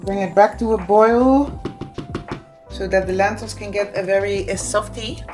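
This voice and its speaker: A woman's voice: medium pitch, fairly even intonation, moderate pace, darkish tone. A Dutch woman with a Dutch accent, whose first language is Dutch, chatting casually to her viewers while cooking in her home kitchen. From Netherlands. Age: adult with children. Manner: chatty, addresses viewers directly, mixes in Dutch words. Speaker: cooking vlogger. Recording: echoey kitchen with background music.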